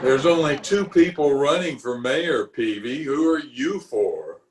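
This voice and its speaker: A man's voice: medium pitch, animated, unhurried, reverberant, slight background noise, lightly acted, low-quality recording. A man playing a pompous, easily exasperated middle-aged official in an old-time radio comedy, recorded at home over a video call. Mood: badgering. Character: blustery, exasperated middle-aged male water commissioner, pompous and opinionated.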